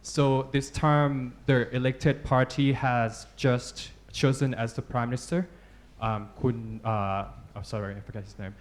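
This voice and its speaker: Thai-accented man, halting audience question, full of fillers, mid pitch. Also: fairly even intonation. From southern Thailand. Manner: hesitant, halting, many fillers and apologies. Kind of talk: press club Q&A question. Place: press club panel room.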